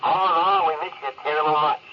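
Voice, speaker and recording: An actor playing a simple, good-hearted working spaceman in a 1950s radio drama, with folksy, rambling speech and rough grammar. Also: on the high side, fast, lightly acted. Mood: wistful, fond reminiscing. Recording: noisy muffled vintage radio recording.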